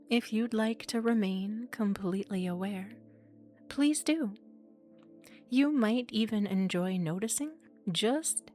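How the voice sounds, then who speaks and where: A woman speaking slowly and soothingly in a gently coaxing, playfully teasing ASMR guided relaxation. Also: mid-range voice. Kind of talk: ASMR guided relaxation, hypnosis-style script.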